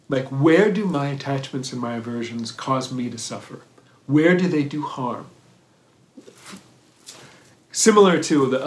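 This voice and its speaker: A man giving a thoughtful dharma talk on mindfulness, pausing and restarting often and checking in with "right?". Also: mid-range voice, a little hiss or hum. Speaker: meditation teacher. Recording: roomy echo.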